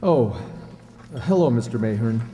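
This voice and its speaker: A man's voice: mid-range voice, expressive, measured pace, dark tone, slight background noise, close to an everyday voice. A man playing an anxious young murder suspect, earnest and faltering, in an old radio drama with a reverberant, hummy sound. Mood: agitated, desperate. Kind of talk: 1940s radio drama acting.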